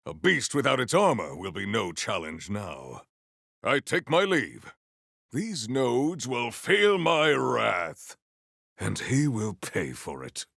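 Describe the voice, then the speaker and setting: An actor playing a proud, formal, honor-bound warrior in a video game, with archaic, theatrical diction. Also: male voice, medium pitch, wildly swooping pitch, takes it slowly, gravelly, bright, forceful tone, no room sound, studio quality, heavily acted. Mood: grim, resolute.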